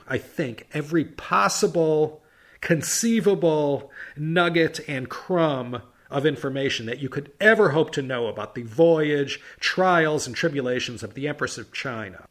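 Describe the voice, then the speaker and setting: A man's voice: mid-range voice, a bit bright. A man hosting a history podcast, telling the story in a casual, chatty way with wry asides and stressed words.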